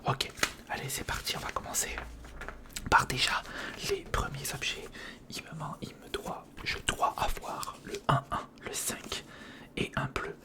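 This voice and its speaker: An ASMR creator whispering casual step-by-step commentary on a hands-on build, murmuring directly to the listener. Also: unhurried.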